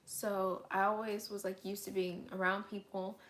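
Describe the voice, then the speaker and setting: Young woman with a raspy voice. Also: mid-range voice, flat delivery, normal speed, fairly bright tone. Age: young adult. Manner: chatty and candid, with many "um"s and "like"s. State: hoarse, getting over an illness. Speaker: vlogger hosting a live Q&A. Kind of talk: casual live Q&A, reading viewer comments. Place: at home, indoors.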